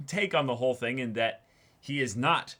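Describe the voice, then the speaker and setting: A man's voice: mid-range voice, a little slow, a bit bright. A man co-hosting a reaction show in a casual, emphatic way, repeating words to stress his points. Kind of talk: casual chat about an anime episode.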